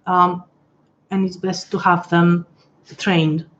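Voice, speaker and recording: Hoarse-edged woman hosting a home webinar. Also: on the low side, level tone, slow, fairly bright tone, muffled recording, a little hiss or hum. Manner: chatty, hesitant, many restarts and asides. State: losing her voice. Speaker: webinar host. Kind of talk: casual live-stream walkthrough. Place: home room, pet nearby.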